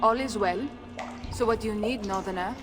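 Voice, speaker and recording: A woman's voice: mid-range voice, moderate pace, over music, natural voice. A woman playing a sly, silver-loving smuggler in a video game, with a teasing, wry, theatrical manner. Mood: sly, conspiratorial. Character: sly, silver-loving female smuggler posing as humble bard. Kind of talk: video game cutscene acting.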